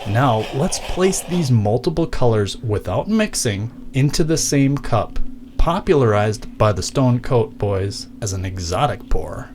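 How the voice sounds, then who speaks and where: A man's voice: mid-range voice, animated, a little slow. A man giving scripted, mock-documentary narration in formal, elaborate wording for a DIY craft video. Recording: clear background noise.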